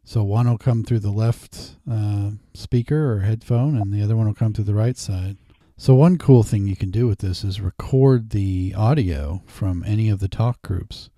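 A man hosting a casual screen-recorded software tutorial, explaining each step informally. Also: fairly low pitch, moderate pace, hardly any pauses, no room sound, clean studio sound. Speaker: tutorial host. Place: indoors at a desk.

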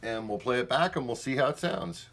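A man's voice: medium pitch, expressive, fairly quick, heavy background noise. A man talking casually as he gives a hands-on product demonstration in a room at home. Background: music playing at times.

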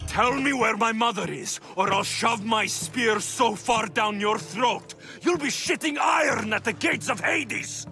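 Hot-tempered ancient warrior for a video game: tough, blunt, demanding, music underneath. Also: male voice, high voice, measured pace, hardly any pauses, sharp tone, full character voice. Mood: furious, threatening.

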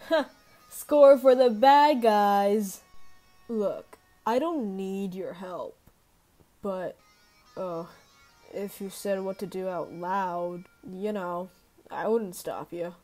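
A woman voicing a gruff, sullen teenage girl monster bully for an amateur video game demo, with a tough, sarcastic, slangy drawl. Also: medium pitch, slow, dark tone, soft background music, natural voice. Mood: cocky, mocking, then grudging, awkward.

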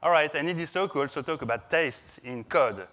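A man's voice: on the high side, fairly quick, a bit bright. A man in his thirties with a French accent, giving a playful, informal conference talk to an audience. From France. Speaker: conference speaker, software developer. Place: large conference hall stage, indoors.

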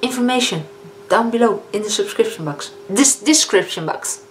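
A woman in her fifties with a Dutch accent, chatting casually as a beauty vlogger reviewing products, often pausing on fillers and correcting herself. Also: medium pitch, lively intonation. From Netherlands. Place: living room, indoors. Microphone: camera in front of a seated speaker. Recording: echoey room with faint hiss.

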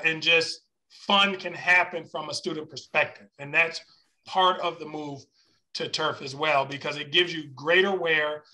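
A man chairing a formal meeting over a video call, explaining things in a measured way. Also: a little high, sharp tone, low-quality recording.

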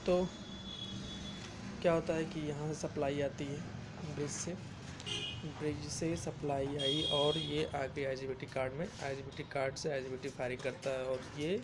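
A man's voice: a little high, flat delivery, moderate pace, heavy background noise. A man hosting an electronics repair channel, explaining a welding machine's circuit boards step by step while filming on a phone in one hand in a workshop. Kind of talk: hands-on repair tutorial. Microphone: phone held in one hand.

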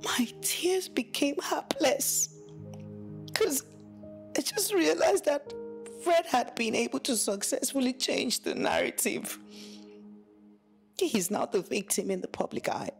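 A woman narrating in first person as a troubled wife, soft and often whispering. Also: on the high side, lively intonation, normal speed, vocal fry, soft background music. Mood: rueful. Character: remorseful young wife telling her story.

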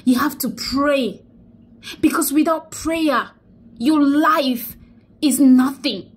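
A young woman with a high voice records herself on a phone held close, indoors. She mourns and exhorts by turns, saying phrases again and again as if she were preaching a sermon. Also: takes it slowly. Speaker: vlogger. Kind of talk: emotional selfie vlog, preachy. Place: indoors, small room. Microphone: phone held close to face.

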